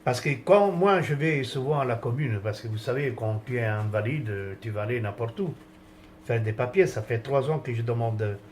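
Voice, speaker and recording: A man's voice: mid-range voice, normal speed, darkish tone, slight background noise. A man from France in a rambling, indignant rant, with many restarts and trailing phrases.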